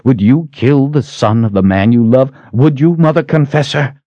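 A menacing witch woman from a fantasy audiobook, acted out by a man. She is scornful and commanding, throwing out threats and accusations with wildly swinging pitch. The recording is close, dry and slightly muffled. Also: expressive, normal speed, dry recording. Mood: cold menace. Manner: hissing threats, accusing rhetorical questions.

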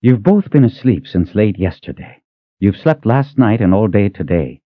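A big gruff coachman in middle age, weary and patient, speaking in a low voice with plenty of lift and fall, as a male narrator plays him. The sound is dry and slightly muffled. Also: sing-song, normal speed, no echo.

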